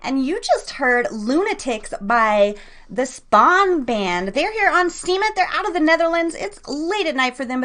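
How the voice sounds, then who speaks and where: A woman with an American accent and a high voice hosts a live online radio show. She chats casually and affectionately with her listeners, with a bubbly, informal manner. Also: fairly bright tone. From United States.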